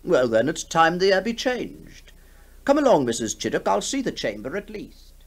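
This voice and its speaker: A man acts out an imperious country squire for a 1960s radio play. He is hearty and impatient, with a commanding manner and pitch that swings freely. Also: big pitch swings, moderate pace, exaggerated voice. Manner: commanding, clipped, dismissive. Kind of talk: 1960s radio drama acting.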